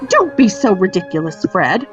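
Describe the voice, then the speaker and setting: A woman's voice: medium pitch, sing-song, moderate pace, dull audio, faint music behind, natural voice. A woman voicing a bustling mother witch in a storybook, fussing and bossy but fond. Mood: indignant.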